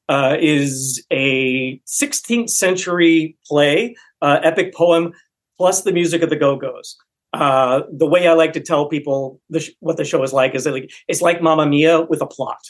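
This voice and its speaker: A man who directs a community musical, talking about the show enthusiastically as an interview guest, with frequent fillers. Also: a little high. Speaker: theater director.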